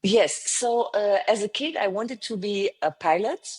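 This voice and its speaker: A woman's voice: mid-range voice, lively intonation, a little slow, darkish tone, husky. A woman in her fifties with a French accent, telling her story earnestly as an interview guest, with many hesitations. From south of France. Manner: frequent hesitations and restarts, earnest. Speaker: guest, travel company founder.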